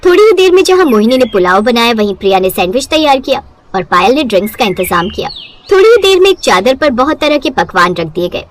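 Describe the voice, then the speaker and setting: A female narrator tells a scripted bedtime tale. Her voice sits high, and her intonation moves in lively, wide swings. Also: fast talker, slight background noise.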